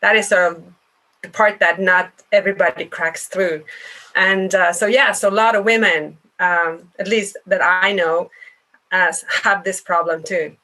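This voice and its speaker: A woman's voice: lowish voice, steady tone, a little slow, bright, forceful tone, rough recording. A woman from Norway, co-hosting a podcast in a friendly, chatty way, sometimes searching for words. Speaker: podcast co-host. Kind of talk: casual conversation.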